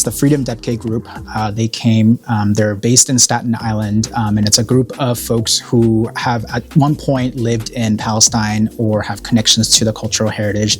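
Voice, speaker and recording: A man born in Korea speaks in an interview as a college program director, his voice sitting on the low side. He talks earnestly and thoughtfully, often pausing with fillers. Faint music plays underneath. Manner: earnest, reflective, many fillers. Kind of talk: interview talking about an event.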